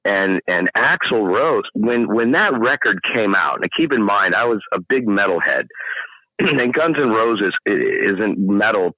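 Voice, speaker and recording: A middle-aged man with a deep baritone speaking voice, chatting in an interview and explaining things at length with many restarts. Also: expressive, measured pace, dry recording, narrow, tinny audio. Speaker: guest singer. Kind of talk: casual interview chat.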